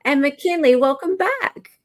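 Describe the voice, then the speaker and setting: High-voiced woman hosting a livestream. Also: lively intonation, a little slow. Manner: gushing, repeats words for emphasis. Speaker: livestream host. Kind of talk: casual livestream chat.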